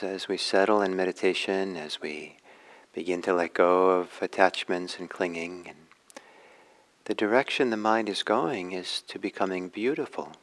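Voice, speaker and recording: A man giving a guided meditation talk as a teacher, reflective and halting, with frequent pauses. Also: sits low. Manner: halting, reflective, pausing often.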